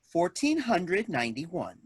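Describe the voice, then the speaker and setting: A husky, low-pitched robot helper acted by a woman for a comic stage play. It recites menus with officious formality and a blithely ominous air, the pitch swinging up and down. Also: wildly swooping pitch, takes it slowly, exaggerated voice. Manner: formal automated-announcement phrasing.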